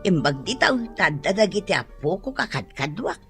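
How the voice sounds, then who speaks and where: An actress with an Ilocano accent playing a patient, pleading elderly mother with a husky voice in a radio drama. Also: fairly low pitch, big pitch swings, normal speed, over music. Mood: gentle, resigned.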